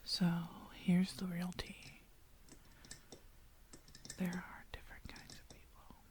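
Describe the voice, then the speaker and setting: A woman's voice: on the low side, mellow. A woman whispering as she retells a Bible story in a casual, slangy way.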